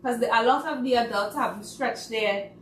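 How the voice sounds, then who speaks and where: A woman's voice: fairly high pitch, normal speed. A woman with a Trinidadian accent, a playwright guest, chatting casually and animatedly with plenty of fillers. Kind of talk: casual chat. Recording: roomy, distant mic, faint noise.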